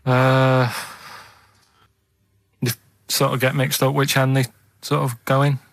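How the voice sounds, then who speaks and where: A man speaks in a flat monotone with a dry, close sound. He muses casually and naively, completely deadpan, as the sidekick on a radio show. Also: medium pitch, slow, vocal fry, no room sound. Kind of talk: casual chat. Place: radio studio.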